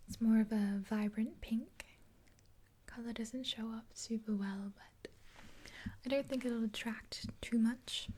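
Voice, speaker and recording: A young woman whispers gently and without hurry, as if reassuring someone in an ASMR roleplay. Her voice is breathy and soft-toned, slightly high and mellow. It is recorded up close, with a faint hiss behind it. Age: 20s. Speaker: ASMR performer playing a makeup helper. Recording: hissy close-up with clicks.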